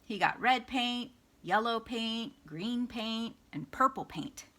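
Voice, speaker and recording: A woman reading a children's picture book aloud as a storytime reader, with expressive, dramatic emphasis. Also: a little high, slow pace.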